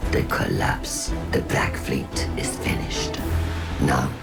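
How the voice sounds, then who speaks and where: Cold game villain scientist, commanding, slow, creaky low voice, measured pronouncements. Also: female voice, expressive, exaggerated voice. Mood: messianic menace. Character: messianic female scientist villain, cold, commanding, unnervingly serene. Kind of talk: video game voice acting. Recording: loud music behind the voice.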